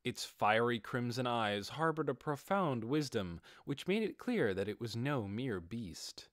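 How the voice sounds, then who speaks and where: A man's voice: mid-range voice, sing-song, measured pace, a bit bright, no echo, clean studio sound. A man reading scripted fantasy fiction as an audiobook narrator.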